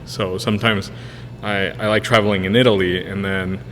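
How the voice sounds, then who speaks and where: A man in his thirties with a fairly low voice talks in a loose, halting way, full of "uh" and restarts. Spanish is his first language. He is recorded in a reverberant room with a faint background hiss. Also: level tone, fairly bright tone. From Spanish-speaking country, moved to the US in 1999. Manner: rambling, many "uh" fillers and restarts. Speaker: podcast guest, brewery co-owner. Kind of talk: casual interview answers.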